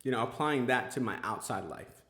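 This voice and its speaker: A man's voice: medium pitch, animated, a little fast, fairly bright tone, echoey room. A man hosting a casual podcast chat, talking earnestly with lots of fillers and restarts. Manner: rambling, filler-heavy, earnest. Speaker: podcast host.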